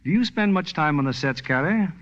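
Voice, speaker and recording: A man with an American accent hosts a scripted 1940s radio show. His voice sits a little high for a man. The old recording is muffled and lo-fi. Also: animated, a little fast, a bit bright.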